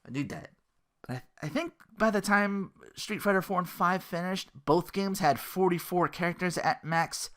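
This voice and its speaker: A man's voice: high-pitched, normal speed, fairly bright tone. A man hosting a gaming podcast in a casual, rambling, slangy monologue, often acting out imagined quotes. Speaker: podcast host.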